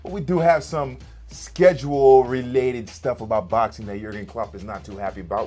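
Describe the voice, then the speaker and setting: Upbeat American man hosting sports chat. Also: fairly low pitch. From United States. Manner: upbeat, loud show openings, chatty. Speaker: sports show host. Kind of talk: casual sports talk show.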